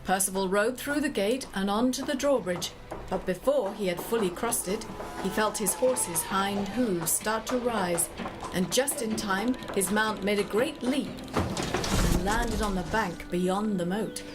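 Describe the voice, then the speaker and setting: A woman narrating a scripted story in a measured way, addressing the listener directly. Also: mid-range voice.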